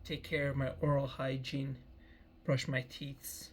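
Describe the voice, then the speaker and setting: A man's voice: mid-range voice, flat delivery, a little slow, slight background noise. A man in his early thirties giving a meandering personal life update, often repeating himself and restarting phrases. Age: 30s. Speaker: vlogger.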